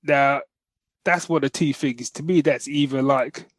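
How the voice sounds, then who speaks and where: A man's voice: mid-range voice, measured pace, no room sound. A man co-hosting a podcast, chatting casually about geek news in a jokey, slangy, conversational way. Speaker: podcast co-host.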